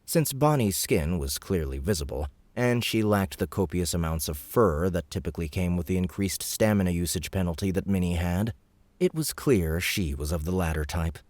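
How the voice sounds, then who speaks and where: A man's voice: low voice, animated, normal speed, darkish tone, no room sound. A man reading scripted fiction narration for an audiobook.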